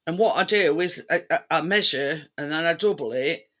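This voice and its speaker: A man in casual, rambling chat, often halting as he searches for words. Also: on the high side, pushed and bright.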